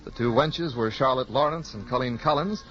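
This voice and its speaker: A man's voice: medium pitch, moderate pace, a bit bright. An American man announcing in formal, scripted 1950s network radio style, enunciating with care. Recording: dull old-time radio recording.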